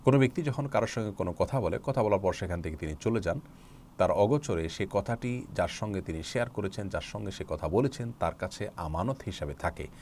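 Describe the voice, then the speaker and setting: A man gives a religious question-and-answer lecture in a very low voice with a gravelly creak. His delivery is formal and explanatory, measured out with hesitant pauses. Noticeable noise sits behind him. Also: animated, darkish tone.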